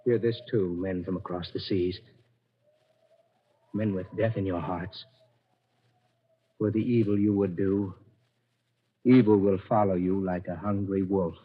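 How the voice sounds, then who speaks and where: Stoic, defiant captive, lowish husky voice, solemn measured delivery, 1940s radio horror, muffled sound. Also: male voice, steady tone. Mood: solemn curse. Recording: dull old radio recording.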